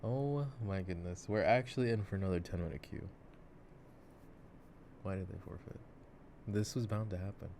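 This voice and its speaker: A man playing a goofy, soft-spoken gamer boyfriend who rambles with playful drama in an ASMR roleplay, over mouse clicks. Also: low voice, normal speed, pauses often. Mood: laid-back, playful. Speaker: ASMR voice actor. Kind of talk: improvised-sounding ASMR boyfriend roleplay while gaming. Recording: clear background noise, mouse clicks. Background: mouse clicks and game ambience.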